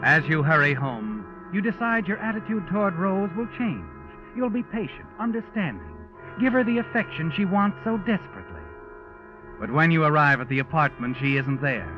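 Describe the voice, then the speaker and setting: An ominous American radio mystery narrator speaks in a fairly high man's voice, insinuating and knowing. He addresses the listener like an accomplice in an old 1940s drama. The sound is dull vintage radio with clear music underneath. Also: big pitch swings, normal speed.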